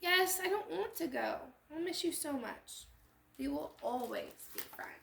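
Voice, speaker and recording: A woman's voice: sits high, lively intonation, measured pace, gravelly, a bit bright, a little hiss or hum, pitched above the performer's own voice, heavily acted. A woman voicing an emotional young girl in a children's storybook, with dramatic, exclamatory delivery. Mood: sad, pleading. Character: emotional, chatty young girl storybook narrator losing her friend. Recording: echoey room sound.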